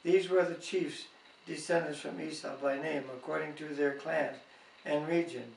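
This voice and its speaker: A man reading scripture aloud in a halting way, stumbling now and then, recorded in a roomy space away from the microphone. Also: a little high, fairly even intonation, gravelly. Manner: halting, stumbling over names. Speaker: Bible reader. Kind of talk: reading scripture aloud with brief informal comments. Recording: roomy, distant home microphone.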